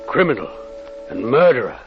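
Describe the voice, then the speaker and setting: Grave elderly clergyman, slow and measured with wide swings of pitch, dull vintage radio sound over music. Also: sing-song, creaky. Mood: solemn, grave. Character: grave elderly clergyman, solemnly recounting a murderer's burial. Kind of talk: 1940s radio drama narration. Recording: muffled 1940s radio recording, music behind.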